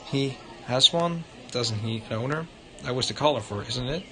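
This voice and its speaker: A man's voice: mid-range voice, normal speed. A male livestreamer reading visual novel narration aloud in an informal way, sometimes stumbling over the words. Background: loud guitar music.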